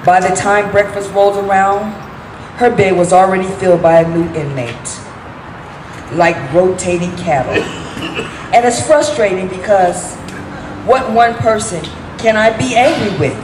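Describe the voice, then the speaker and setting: A woman performing a dramatic monologue as a weary, grieving prisoner bearing witness in a deliberate, heartfelt way. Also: mid-range voice, natural voice. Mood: weary sorrow. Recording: echoey room with background noise.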